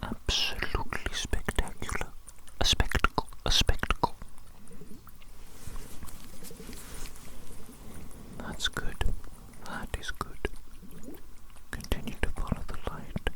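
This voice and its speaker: A performer playing an eccentric, doting evil doctor in a whispered ASMR roleplay, breathy and playful, repeating phrases soothingly. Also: a little slow, close to an everyday voice. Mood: fussy, observing.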